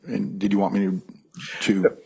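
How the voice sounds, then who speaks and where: Hesitant husky-voiced male witness. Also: on the low side, little pitch movement, moderate pace, warm tone, dull audio. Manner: hesitant, many ums, reading aloud. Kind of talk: courtroom testimony. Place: courtroom.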